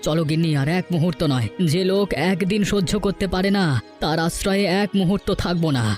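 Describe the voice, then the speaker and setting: Cartoon folk-tale role: male actor as proud, penniless village family man, fairly high voice, earnest declamation, music underneath. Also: fairly quick, a little mellow, natural voice. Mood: indignant, resolute. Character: proud, poor homeless village husband and father. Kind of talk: Bengali cartoon folk-tale dialogue acting.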